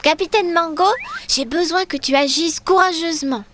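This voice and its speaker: A woman voicing a brave, kind young girl adventurer in a children's cartoon, expressive and encouraging. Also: very high-pitched, moderate pace, slight background noise.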